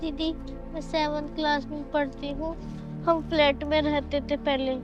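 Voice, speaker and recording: A woman's voice: high-pitched, flat delivery, unhurried. A female performer playing a poor, distressed schoolgirl who answers plaintively and respectfully in a short drama film. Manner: plaintive, deferential. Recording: dull lo-fi sound under music.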